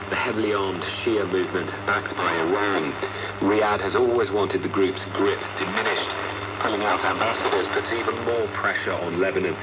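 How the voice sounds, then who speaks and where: A man giving a scripted radio news report as a correspondent. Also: low-pitched. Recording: noisy shortwave radio broadcast.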